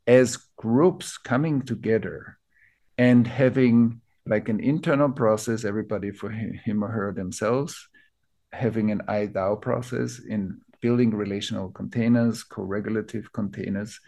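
Low-voiced Austrian man explaining reflectively. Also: measured pace, warm tone. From Vienna, Austria. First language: German. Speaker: interview guest, teacher of collective trauma healing. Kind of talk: reflective explanatory interview talk.

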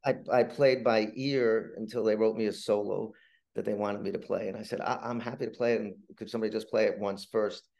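An older man telling casual anecdotes as a podcast guest, halting and repeating his words, in a self-deprecating manner. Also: lowish voice, steady tone, vocal fry, darkish tone.

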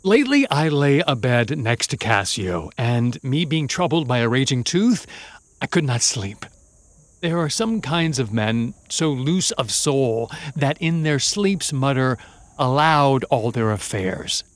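An actor playing a scheming soldier who feigns loyalty, with a measured, insinuating delivery of classical verse in a radio play. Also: male voice, mid-range voice, big pitch swings, no room sound. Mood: feigned reluctance.

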